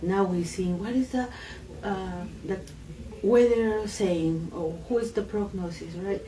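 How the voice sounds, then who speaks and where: A woman's voice: mid-range voice, unhurried, mellow, far from the mic, slight background noise, rough recording. A woman from Peru, guest in a casual conversation, speaking earnest, slightly broken English.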